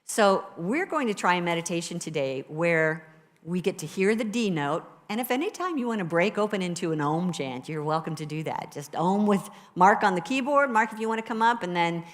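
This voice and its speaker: A woman minister with a low voice, preaching a sermon and leading a guided meditation in a conversational, encouraging way to a live congregation. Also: animated, a little fast. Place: church sanctuary with a live congregation. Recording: live church recording with long reverb.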